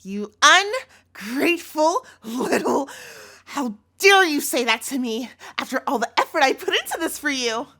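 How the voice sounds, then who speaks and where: A woman's voice: high-pitched, lively intonation, a little slow, bright, forceful tone, heavily acted. A woman playing an obsessive, doting captor girlfriend in a scripted audio roleplay, speaking directly to the listener in a cutesy tone with menace in it. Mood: angry, threatening.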